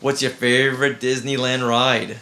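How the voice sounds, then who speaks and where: A man's voice: medium pitch, level tone, moderate pace, pushed and bright. A man hosting a casual podcast interview with a child, asking simple questions in an encouraging way and echoing answers back.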